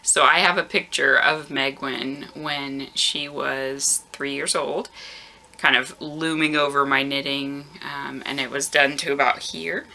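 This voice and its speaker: Tired thirtysomething woman chatting about knitting on her camera mic, really low bright-edged voice, kinda groggy, lots of ums. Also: a little slow, creaky, a little hiss or hum, poor audio. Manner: relaxed and chatty, frequent ums. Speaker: knitting podcast host. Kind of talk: casual video podcast chat. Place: warm room at home. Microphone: camera microphone.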